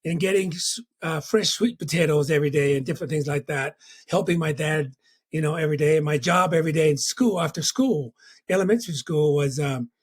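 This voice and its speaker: A middle-aged man from Tonga telling his life story as an interview guest, reflective and warm, with a constant "you know.". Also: on the high side, a little fast, husky.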